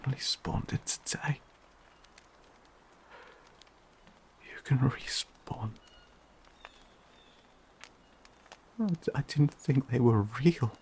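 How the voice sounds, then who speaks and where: A performer voicing a shaken, emotional fantasy companion in an audio roleplay, speaking haltingly with stammers and whispers. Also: mid-range voice, gravelly, dark tone, slight background noise. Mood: awed disbelief. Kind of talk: dramatic audio roleplay addressed to listener.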